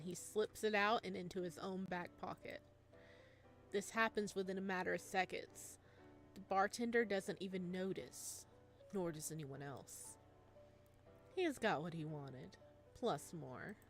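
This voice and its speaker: A woman's voice: mid-range voice, normal speed, faint music behind. Woman reading a visual novel aloud. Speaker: let's player reading a game aloud. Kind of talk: dramatic narration with casual commentary.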